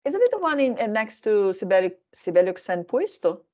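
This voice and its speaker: A woman's voice: mid-range voice, big pitch swings, a little fast, dark tone, muffled recording. A woman hosting a casual discussion, chatty and digressive, with many fillers, from Brazil. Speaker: host.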